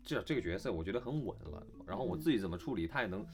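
A man's voice: fairly low pitch, expressive, very fast, over music. A man talking casually as a voice actor guest in a conversation, emphatic and often repeating short phrases for stress. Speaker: voice actor guest on an audio drama chat. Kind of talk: casual chat.

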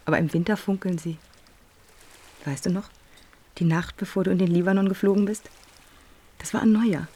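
A woman playing a sensitive teenage daughter in a radio drama, hushed and often breathy. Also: fairly low pitch, steady tone, fairly quick, long pauses, close to an everyday voice. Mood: wistful. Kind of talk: German radio drama acting.

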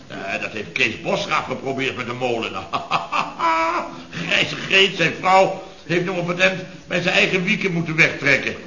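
Een acteur die een mopperende, opschepperige en zielige rijke aannemersbaas speelt, pompeus en nadrukkelijk, in een oude radiokomedie. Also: male voice, fairly high pitch, big pitch swings, unhurried, bright, forceful tone, exaggerated voice. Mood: smug, jovial. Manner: pompous, grumbling, emphatic, repeats himself. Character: blustery, self-pitying, boastful rich building contractor boss. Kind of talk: 1970s radio comedy acting. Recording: dull, roomy vintage radio recording.

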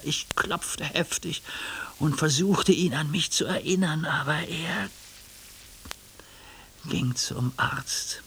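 Anguished old judge in a radio drama, really slow n halting, hissy tape. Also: male voice, mid-range voice, vocal fry. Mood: weary, yearning. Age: older. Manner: halting, confessional, often hushed. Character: anguished ageing male judge voicing his neglected heart. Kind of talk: German radio play acting, intimate monologue. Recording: hissy radio play recording.

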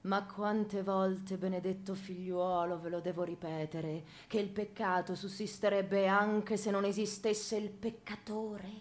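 A woman's voice: medium pitch, level tone, a little slow, few pauses, lightly acted. A woman playing a stern, dogmatic senior priest in a theatrical radio drama, pompous and sermonizing. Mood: exasperated. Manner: pompous, sermonizing, rhetorical questions.

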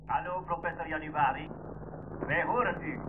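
Stern navy commander on ship radio, highish voice, lots of noise, narrow sound. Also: male voice. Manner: measured, official. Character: formal, unyielding American destroyer commander. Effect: ship radio filter. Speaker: radio drama actor. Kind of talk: 1980s school radio play acting. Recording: noisy band-limited radio transmission.

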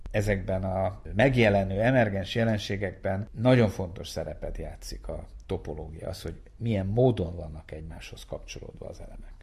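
A man's voice: lowish voice, gravelly, darkish tone, husky. A man giving an expert spoken explanation in an explanatory manner, stressing key words. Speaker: scientist explaining network research.

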